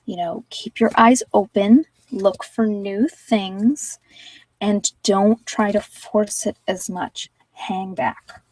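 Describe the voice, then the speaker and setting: A woman's voice: medium pitch, a little mellow, no echo, recorded from a distance, rough recording. A woman giving casual live tarot readings to viewers, talking informally and thinking aloud as she goes. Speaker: tarot reader and channel host.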